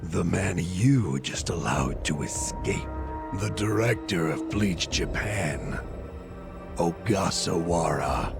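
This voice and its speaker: Gravelly gangster villain in a game, sneering n smug, drags words out real slow, music behind. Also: male voice, low voice, lively intonation, full character voice. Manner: sneering, gloating, drawn-out delivery. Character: sadistic male gangster villain, gloating and treacherous. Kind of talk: English video game dub acting.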